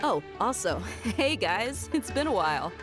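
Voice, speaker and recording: A woman's voice: medium pitch, big pitch swings, a little slow. A woman playing a confident, easygoing desert mercenary in a video game, teasing and informal, with music behind her.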